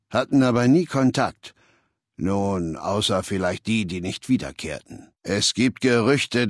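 Imperious legion warlord, cold, self-assured, lecturing, mid-pitched, dry close sound, video game dialogue. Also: male voice, no echo. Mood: commanding, matter-of-fact. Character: imperious, cold male warlord ruling a slave-taking legion. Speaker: game character voice.

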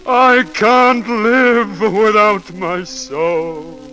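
A man's voice: high-pitched, steady tone, pushed and bright, exaggerated voice. An actor playing a tormented, passionate romantic man in theatrical, anguished 1940s radio drama style. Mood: raging grief. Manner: anguished, theatrical, slow declamation. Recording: old radio recording with music.

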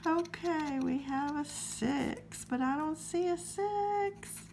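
A woman vlogging as she scratches lottery tickets, her throat a little scratchy, calmly reading out numbers in a friendly way. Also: sits high, slow pace, gravelly. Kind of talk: casual to-camera chat while scratching tickets.

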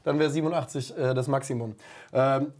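Fast-talking joking male host. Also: mid-range voice. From Hesse, Germany. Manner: lively, joking, quick. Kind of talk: casual chat.

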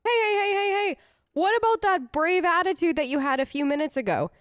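A woman's voice: very high voice, lively intonation, fairly quick, dry recording, clean studio sound. A woman doing casual in-character commentary as she role-plays through a video game, chatty and reassuring, thinking aloud. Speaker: gaming host, role-play let's player. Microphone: close microphone, dry voice-over.

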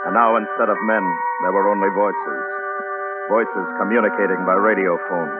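Male actor as weary spaceman, low sober voice, vintage radio drama, tinny band. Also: steady tone, moderate pace, natural voice. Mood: somber, resigned. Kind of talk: 1950s radio drama narration. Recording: tinny 1950s radio, loud music.

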